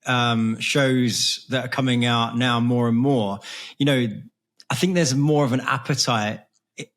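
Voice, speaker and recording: A man's voice: medium pitch, fairly even intonation, a little slow, a bit bright. Man co-hosting a casual interview. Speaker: podcast co-host, interviewer.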